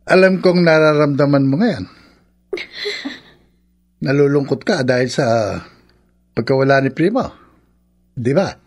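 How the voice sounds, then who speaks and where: An actor playing a gentle, solemn father in a radio drama, with a husky voice. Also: medium pitch, a little slow, long pauses. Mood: consoling, solemn. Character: gentle consoling middle-aged father, solemn and devout. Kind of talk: melodramatic radio drama acting.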